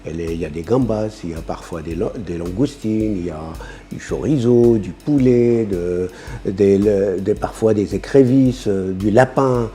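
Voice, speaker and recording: A man's voice: lowish voice, normal speed, dark tone, slight background noise. A man talking casually in an interview, hesitant and full of fillers, chuckling between his points.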